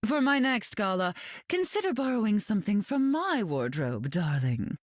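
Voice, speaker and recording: An actress playing a glamorous, snobbish socialite, affected and condescending, in a video game. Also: female voice, mid-range voice, very animated, normal speed, no room sound, studio quality. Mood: disdainful. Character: haughty, glamorous socialite mutant woman, fashion snob. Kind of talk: video game character acting.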